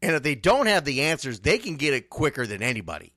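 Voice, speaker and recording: A man's voice: mid-range voice, sing-song, fast, sharp tone, no room sound, studio quality. A man hosting a casual sports talk radio show, speaking emphatically and repeating phrases to drive points home.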